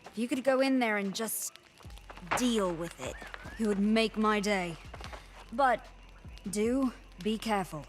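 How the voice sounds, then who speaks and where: An actress playing a weary, friendly quest giver in a fantasy video game, speaking in a gentle, understated, earnest way. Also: female voice, mid-range voice, measured pace, long pauses, noisy background, lightly acted. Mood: earnest, concerned. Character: weary, friendly woman guarding a fantasy settlement. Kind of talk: scripted game dialogue, conversational.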